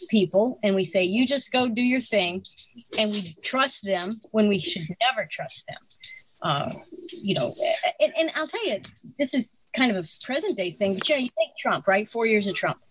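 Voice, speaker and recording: A woman in her sixties giving strong opinions in casual conversation, animated and laughing between points. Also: medium pitch, a little hiss or hum, rough recording. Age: 60s.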